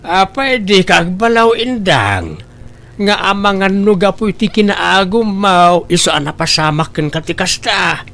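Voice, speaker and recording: A man playing a high-voiced scolding moralizer. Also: expressive, a little slow, a bit bright, full character voice. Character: stern man lecturing a greedy woman. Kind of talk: amateur comedy audio drama.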